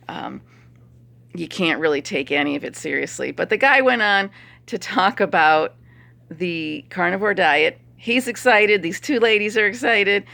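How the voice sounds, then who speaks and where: A middle-aged woman chiropractor with a hoarse voice and a stuffy nose, chatting opinionatedly to a phone camera and laughing as she talks. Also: lowish voice, bright, forceful tone. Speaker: chiropractor vlogger. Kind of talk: casual talk to camera.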